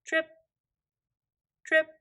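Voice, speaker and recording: A woman reading a children's storybook aloud in an expressive, deliberate, dramatic way. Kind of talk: expressive scripted read-aloud narration for children.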